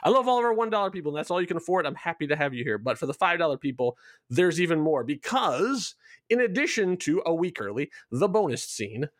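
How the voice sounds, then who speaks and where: A man's voice: on the high side, no room sound, studio quality. A man talking enthusiastically in a casual promotional podcast chat, explaining things in a rambling way as a show's creator. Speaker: show creator being interviewed.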